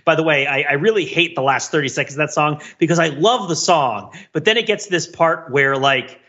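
A man co-hosting a podcast, chatting casually about pop culture in a rambling, digressive, animated way on a slightly lo-fi track. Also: fairly high pitch, a little fast. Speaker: podcast co-host.

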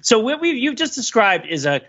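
A man's voice: very high voice, very animated, a little fast, bright, forceful tone, breathy edge, highs cut off. A man co-hosting a podcast in casual but intellectual chat, speaking in wordy, analytical phrases. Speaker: podcast host.

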